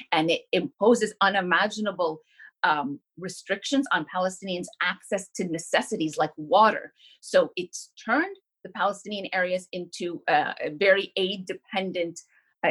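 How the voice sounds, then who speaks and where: A woman activist answers interview questions with passion. She speaks quickly, often restarting her phrases and using fillers. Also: medium pitch. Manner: impassioned, fast, with many fillers and restarts. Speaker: guest activist.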